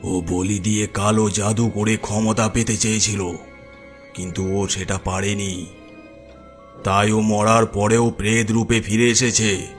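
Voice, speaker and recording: A grave village holy man and exorcist, played by a man, with a low voice. He gives solemn, authoritative instruction in a horror cartoon, over faint background music. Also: flat delivery, measured pace, close to an everyday voice. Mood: grave warning. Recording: music behind the voice.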